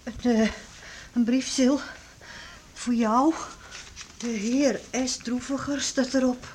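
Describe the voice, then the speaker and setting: Anxious, tender mother, high voice. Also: steady tone, slow pace, darkish tone. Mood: busy, uneasy. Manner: fretful, soothing, pleading. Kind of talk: radio drama acting. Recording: hissy old radio play recording.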